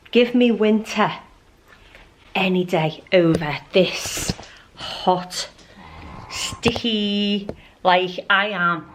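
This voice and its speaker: A woman's voice: lowish voice, noisy background. A middle-aged woman chatting informally and ramblingly to camera as a lifestyle vlogger. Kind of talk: casual chatty vlog to camera. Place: at home.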